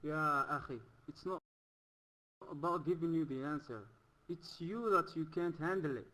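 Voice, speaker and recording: A man's voice: a little high, normal speed, pushed and bright, low-quality recording. A man calling into a debate, challenging the host with stammering restarts. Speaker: caller. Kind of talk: argumentative debate reply.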